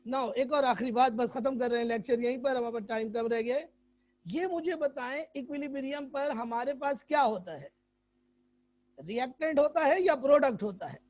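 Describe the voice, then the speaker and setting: A hoarse man teaching a chemistry class online, quizzing students and repeating English definitions. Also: extremely high pitch, normal speed, darkish tone.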